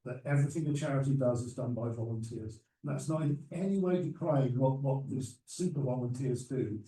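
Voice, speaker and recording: A man in his sixties speaking as a panelist, measured and persuasive. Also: mid-range voice, warm tone. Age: 60s. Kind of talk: panel discussion. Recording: roomy hall, mic at a distance.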